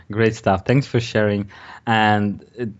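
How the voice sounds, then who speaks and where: Low-voiced male podcast host, muffled lo-fi sound. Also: level tone, slow. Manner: encouraging, affirming. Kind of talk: conversational podcast interviewing.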